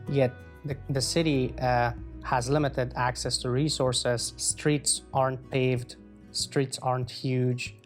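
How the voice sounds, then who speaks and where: A man in his twenties from Afghanistan, answering interview questions in an earnest, measured way with frequent pauses. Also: medium pitch. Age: 20s. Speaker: guest.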